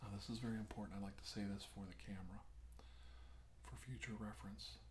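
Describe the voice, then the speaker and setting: A man playing an unhurried doctor in an ASMR roleplay, speaking softly in deliberate, halting phrases that often drop into a whisper. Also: fairly low pitch, fairly even intonation, natural voice. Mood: calm, grave. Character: unhurried doctor examining an abductee, explaining alien plans. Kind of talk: ASMR roleplay, soft-spoken monologue. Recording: roomy echo with background noise.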